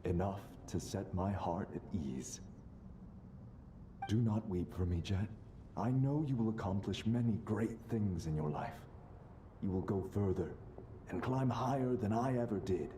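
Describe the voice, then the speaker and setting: An actor playing the tender, dignified spirit of a desert warrior father in a video game, gentle and solemn. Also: deep voice, slight background noise. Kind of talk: dramatic video game acting. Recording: faint game sound behind voice.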